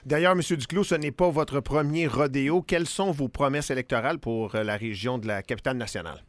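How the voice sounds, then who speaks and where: A man's voice: mid-range voice, fast, no room sound. A man with a Quebec French accent putting formally phrased questions in a political interview.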